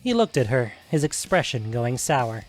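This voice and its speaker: A man's voice: mid-range voice, very animated, normal speed, faint music behind. A man reading scripted narration for a fantasy adventure story.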